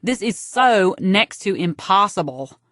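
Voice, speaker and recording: A woman's voice: mid-range voice, expressive, unhurried, fairly bright tone, no room sound, studio quality. A woman voicing a melodramatic, self-pitying young would-be model who is nosy and speaks with theatrical intensity. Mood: pressing, insistent.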